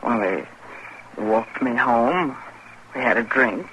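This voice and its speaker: A woman's voice: very deep voice, slow, fairly bright tone, exaggerated voice, poor audio. An actress playing a guarded, lonely young saloon girl in an old-time radio western. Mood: anxious, guarded. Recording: thin old radio recording, faint noise.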